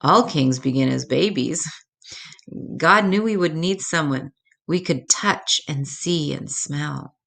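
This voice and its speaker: A woman voicing a gentle, patient mother bear who teaches tenderly and reassuringly in a children's storybook. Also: low-pitched, slow, vocal fry, heavily acted. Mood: reverent.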